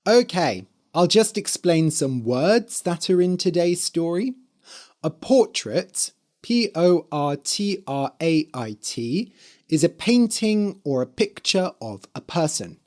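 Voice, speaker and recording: A podcast host and English teacher with a slightly hoarse, dry voice, explaining things clearly and carefully for language learners. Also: medium pitch, big pitch swings, takes it slowly, a little mellow. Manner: careful enunciation, spelling words out letter by letter.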